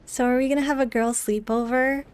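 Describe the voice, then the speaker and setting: A woman's voice: sits high, steady tone, a little fast. A woman live streamer chatting casually and in a friendly way with her viewers while gaming. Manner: friendly, greets viewers by name. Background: game music.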